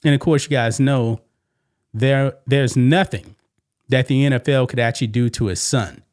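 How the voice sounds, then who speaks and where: A man's voice: mid-range voice, normal speed, darkish tone. A man hosting a sports commentary show, giving casual opinions in a conversational way with rhetorical questions. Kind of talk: casual opinion commentary on sports news, reading quoted statements.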